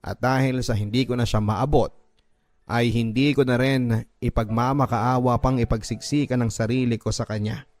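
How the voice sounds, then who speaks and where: A man's voice: medium pitch, steady tone, normal speed, a little mellow, no echo. A man with a Filipino accent reads a listener's story as an emotive, scripted first-person radio drama narration. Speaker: radio drama host reading a listener's letter. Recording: music bed behind the voice. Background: music.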